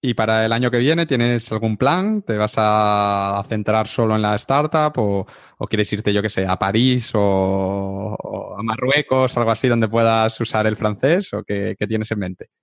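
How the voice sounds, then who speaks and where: A man's voice: fairly low pitch, a little slow, dry recording, clean studio sound. A man hosting a casual podcast interview in an informal, encouraging manner.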